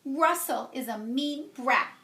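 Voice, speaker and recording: A woman's voice: high-pitched, takes it slowly, pushed and bright, exaggerated voice. A woman voicing an earnest, easily upset young schoolgirl from a picture book, with emphatic, plaintive delivery. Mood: resentful. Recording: roomy, echoey home-style recording.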